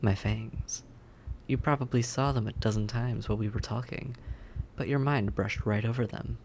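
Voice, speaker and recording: A man playing a suave, polite vampire in an audio roleplay, courteous and measured, with a softly teasing menace. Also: mid-range voice, steady tone, slight background noise, lightly acted. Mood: smug, menacing. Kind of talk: scripted audio roleplay acting, addressed to the listener.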